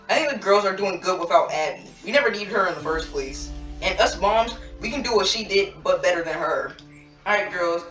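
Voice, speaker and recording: A woman's voice: medium pitch, a bit bright, far from the mic, natural voice. A woman playing a confident, defiant dance mom in an amateur roleplay drama, assertive, recorded echoey and away from the mic.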